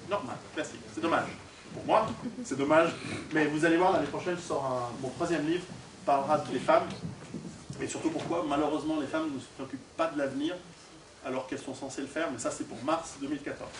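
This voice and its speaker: A distant, echoey recording of a man from Switzerland addressing an audience. He speaks in an informal, joking lecture style and projects to the room, with a fairly high-pitched voice for a man. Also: steady tone, fairly quick. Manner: conversational and joking, polling the audience; projecting to a room without a microphone. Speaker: guest lecturer and author. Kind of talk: informal public talk to an audience. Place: indoor hall, recorded at a distance. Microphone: distant camera or recorder, no microphone on speaker. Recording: echoey hall from far off, noisy.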